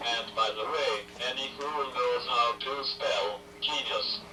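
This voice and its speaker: Slow synthesized male computer voice. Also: mid-range voice, recorded from a distance, over loud music. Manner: dry self-mocking humor, slow and flat. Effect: computer speech synthesizer. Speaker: honoree giving an acceptance speech. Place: ceremony hall.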